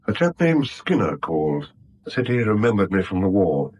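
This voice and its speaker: Uneasy English husband, husky and expressive. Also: male voice, sits low, moderate pace, dull audio, full character voice. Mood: worried. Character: uneasy, subdued husband, a new bank manager. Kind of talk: 1970s British radio drama acting.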